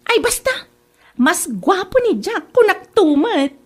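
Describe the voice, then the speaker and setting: Stubborn lovestruck young woman, female actor, comedy drama; high pitch, swinging intonation. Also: sing-song, slow pace, full character voice. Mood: playful, insistent.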